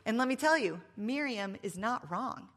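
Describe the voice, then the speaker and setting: A woman's voice: on the high side, lively intonation, normal speed, fairly bright tone. A woman in her fifties giving a faith talk to a live audience with a microphone, emphatic and playful, full of asides. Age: 50s. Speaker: speaker at a women's event. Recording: long reverb of a large hall.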